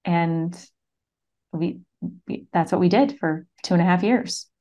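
A woman's voice: lowish voice, measured pace, dark tone. A woman from the US East Coast, a guest on a podcast, telling her story casually with lots of "like". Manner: casual storytelling, many "like" fillers. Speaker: podcast guest, business mentor. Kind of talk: conversational interview.

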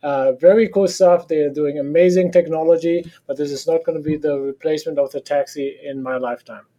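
A man's voice: fairly high pitch, steady tone, a little fast, mellow. A man with a German accent, a professor answering interview questions in a direct, opinionated way and laughing often. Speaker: professor of operations management. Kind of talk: podcast interview guest.

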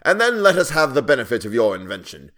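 A man's voice: mid-range voice, big pitch swings, quick pace, fairly bright tone, voice pushed up. A man voicing a cruel, imperious storybook king, a hearty bully given to roaring and impatience. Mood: domineering, jovial. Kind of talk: storybook narration character voice.

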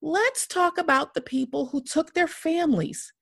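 A woman in her forties talks with passion and weight, pressing her points through repetition. Her voice carries a gravelly fry, and the sound is close and dry. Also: high-pitched, moderate pace, a bit bright, dry recording. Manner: impassioned, emphatic repetition. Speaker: podcast host. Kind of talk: earnest podcast conversation.

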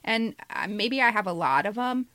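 A woman's voice: medium pitch, animated, normal speed, bright, forceful tone, no echo. A woman in her fifties, a podcast co-host and writer, reflecting casually and candidly with a self-deprecating manner. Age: 50s.

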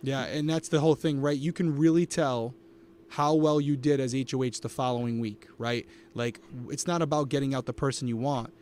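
A man's voice: medium pitch, fairly even intonation, normal speed, a little mellow, clear, resonant tone. A man co-hosting a live podcast recap, talking to his co-host and the chat with emphatic opinions on a studio microphone. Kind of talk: casual live-stream chat.

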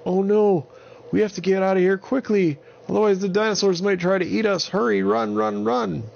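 A man's voice: high-pitched, steady tone, moderate pace, creaky, lo-fi sound, voice pushed up. A man voicing a friendly, anxious female woolly mammoth with urgent exclamations in a children's bedtime story. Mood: alarmed, urgent.

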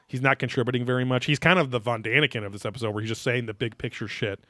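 A man in his thirties talks as a podcast host in a loose, rambling recap style. His medium-pitched voice is recorded dry and close, and he swears casually as he goes, slipping into theatrical readings of quotes. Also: fairly even intonation, racing, bright, forceful tone, dry recording. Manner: rambling, profane, reads quotes aloud theatrically. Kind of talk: casual episode recap chat.

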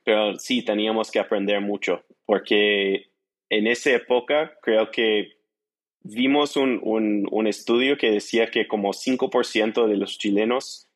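Un hombre de unos treinta años con acento estadounidense y el inglés como lengua materna cuenta su experiencia de forma informal en una entrevista, en un español con pequeños errores gramaticales. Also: male voice, lowish voice, steady tone, measured pace. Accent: American. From United States. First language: English. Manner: non-native Spanish with small grammar slips. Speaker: interview guest, startup entrepreneur. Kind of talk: casual storytelling in an interview.

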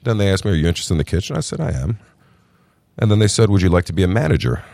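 A middle-aged man from New Jersey, a restaurant founder telling his story in a relaxed, chatty interview and calling the host "brother". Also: sits low, rapid-fire, vocal fry, dry recording. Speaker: restaurant group founder, podcast guest.

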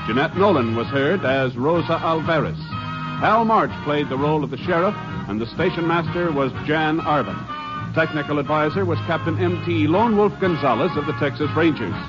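A man reading credits and segment intros in a smooth, formal 1950s radio style, in a dull old broadcast. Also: a little high, animated, normal speed, over music. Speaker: program announcer.

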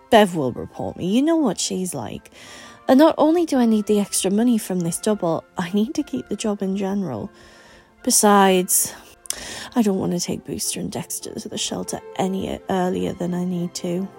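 An anxious, self-pitying young man from an audiobook story, acted by a woman. He speaks emphatically and defensively, in a mellow, slightly husky voice over faint music. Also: medium pitch, animated, moderate pace. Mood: resigned.

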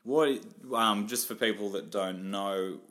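A man's voice: on the low side, takes it slowly, a bit bright. A man with an Australian accent hosting a casual interview, asking rambling questions full of fillers. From northern New South Wales, Australia. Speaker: podcast host.

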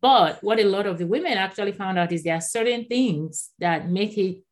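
A Nigerian-born woman in her thirties whose first language is Yoruba, speaking as an author and guest in a conversational interview, animated and quick to laugh. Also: medium pitch. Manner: animated, laughs often, repeats words when starting a thought.

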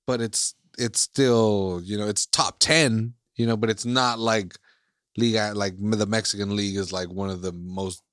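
A man's voice: lowish voice, fairly even intonation, a little slow, a bit bright, smooth, dry recording, clean studio sound. A man from Iceland, co-hosting a casual chat, who rambles and keeps circling back to his points.

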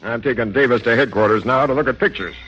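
An actor playing a brusque, authoritative American police captain in a 1940s radio crime drama. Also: male voice, medium pitch, fast, a bit bright, slight background noise, natural voice. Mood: impatient. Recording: muffled 1940s radio recording.

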